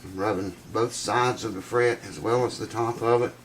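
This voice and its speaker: Congested guy with a lowish voice, folksy and excited, fixing up a guitar in his workshop, bit of faint hum behind. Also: moderate pace, poor audio. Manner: folksy, enthusiastic, says "man" a lot. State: congested, stuffed-up nose. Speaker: guitar repair channel host. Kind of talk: casual workshop vlog.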